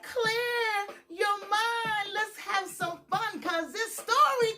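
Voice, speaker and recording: A woman's voice: very high voice, takes it slowly, music in the background. A woman hosting a children's storytime in a theatrical, emphatic, singsong read-aloud style, drawing out and repeating phrases.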